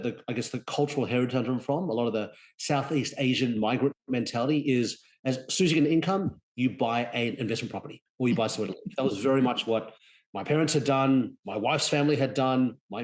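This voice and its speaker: A man in his forties who grew up in Australia, speaking as an interview guest over a remote call, quick and full of restarts. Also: mid-range voice. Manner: quick, restarts often, chuckles. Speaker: guest, real estate executive. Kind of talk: conversational interview. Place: home in Sydney.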